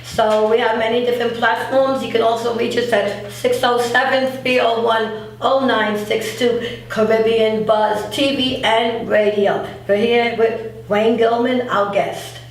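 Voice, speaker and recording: Higher-voiced woman host in echoey room. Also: fairly even intonation, measured pace, few pauses. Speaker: radio show host. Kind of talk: conversational interview and station announcements. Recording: echoey room, distant mic, faint noise.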